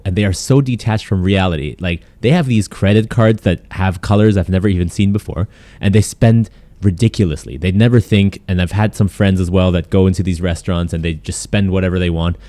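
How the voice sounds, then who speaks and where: A young man from Germany and the UK, a content creator, telling stories as a guest in a casual podcast interview. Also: sits low. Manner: quick, animated storytelling with frequent "like".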